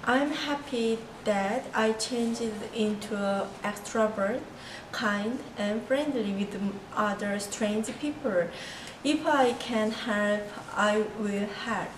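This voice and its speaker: Korean woman talking slow and careful, roomy and noisy. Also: mid-range voice. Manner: slow, careful prepared statements. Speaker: international student. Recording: echoey room from far off, noisy.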